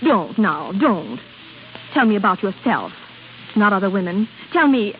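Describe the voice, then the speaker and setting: An actress playing a proud, lonely opera singer, coy and conflicted, in theatrical 1930s radio drama style. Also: female voice, mid-range voice, big pitch swings, a little slow. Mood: teasing. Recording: tinny crackly 1930s radio broadcast, faint hiss.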